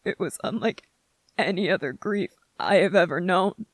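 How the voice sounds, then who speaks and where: A performer voicing a gentle, grieving young male soldier in an intimate ASMR roleplay, speaking haltingly and emotionally, close to tears. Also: medium pitch, expressive, no echo, close to an everyday voice. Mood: grieving, aching. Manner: halting, emotional, earnest, short broken phrases.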